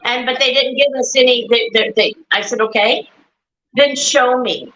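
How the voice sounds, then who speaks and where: A talkative woman with a loud, sharp, bright voice, speaking on a live stream. She circles back over her points and repeats words as she goes. The sound is dull and lo-fi, from an echoey room. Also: on the high side, moderate pace. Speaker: guest, bereaved mother.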